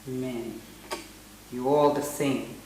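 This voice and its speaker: Defiant feminist: emphatic scolding, indignant, melodramatic, strongly reverberant room. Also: female voice, a bass voice, steady tone, extremely slow, mellow, full character voice. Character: defiant, indignant feminist woman protecting her gender. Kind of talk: film melodrama acting. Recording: very echoey room, faint noise.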